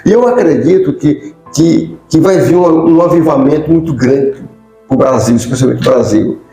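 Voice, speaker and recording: A man's voice: fairly high pitch, moderate pace, vocal fry, warm tone. A Brazilian man hosting a conversational religious interview, agreeable and often seeking his guest's agreement. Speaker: interview host, bishop. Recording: echoey room, faint music.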